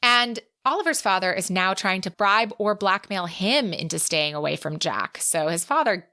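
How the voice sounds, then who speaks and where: A woman co-hosting a podcast, retelling a plot conversationally in an expressive, dramatic storytelling manner. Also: medium pitch, normal speed. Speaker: podcast co-host. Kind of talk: conversational book recap.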